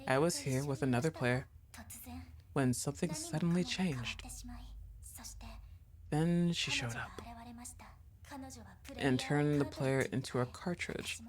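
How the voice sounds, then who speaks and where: A woman voicing a kind, secretive young female game character, reading the lines calmly and quietly. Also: low voice, slow, long pauses, a crowd in the background. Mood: confiding. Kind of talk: reading game dialogue aloud.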